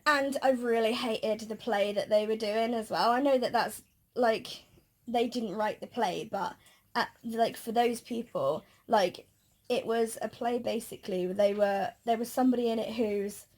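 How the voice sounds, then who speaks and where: British female vlogger, high husky voice, casual conversational delivery. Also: a little slow, darkish tone. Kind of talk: casual chat.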